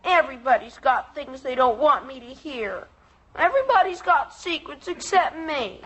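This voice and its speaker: An eager kid sister in an old radio family comedy. The little girl's voice is extremely high, bright and very animated, whiny and dramatically earnest. It sounds like a dated, noisy broadcast. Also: normal speed. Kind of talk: 1950s radio sitcom acting.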